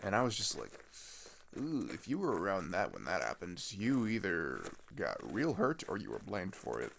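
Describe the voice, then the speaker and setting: A young man playing a chatty, excitable teenage runaway in an ASMR audio roleplay, rambling and halting in casual slang as he tells road stories. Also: sits low, creaky, slight background noise, exaggerated voice. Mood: subdued, reflective. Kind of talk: improvised-sounding ASMR audio roleplay monologue.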